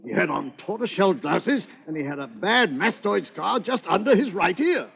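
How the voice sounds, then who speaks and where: A man's voice: high voice, big pitch swings, moderate pace, creaky, fairly bright tone, full character voice. An actor playing a fussy, flustered male doctor in an old radio drama, spluttering and hesitant. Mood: flustered, indignant. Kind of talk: 1940s radio drama acting. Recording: dull, lo-fi old radio recording.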